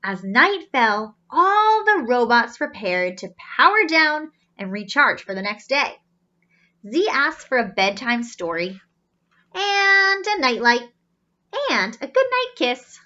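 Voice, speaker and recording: A woman with a high, very bright voice reads a picture book to children. She is teacherly, breaking off to explain and ask questions. The recording is slightly dull. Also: sing-song, slow pace. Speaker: children's storybook reader. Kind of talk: animated read-aloud storytelling with asides.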